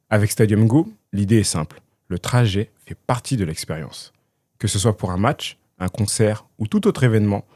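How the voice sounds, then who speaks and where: A man hosting a podcast, reading a scripted intro and asking measured interview questions. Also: on the low side, warm tone, no echo, clean studio sound. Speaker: podcast host.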